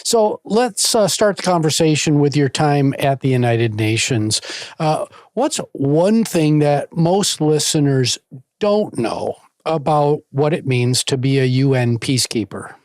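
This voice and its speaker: A man's voice: a little high, animated, measured pace, darkish tone, dry recording. A man hosting a podcast, interviewing a guest in a conversational way. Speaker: podcast host.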